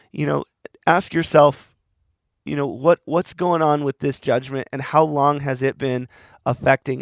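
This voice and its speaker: Hoarse man with a gravelly voice. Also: fairly high pitch, no room sound, studio quality. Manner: rambling, earnest, with restarts. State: losing his voice. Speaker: podcast host. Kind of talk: reflective solo monologue.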